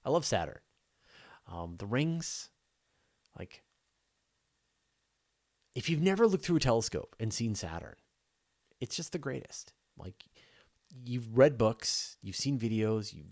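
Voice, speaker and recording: A man hosting a live astronomy question show, chatting casually and off the cuff with informal enthusiasm. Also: mid-range voice.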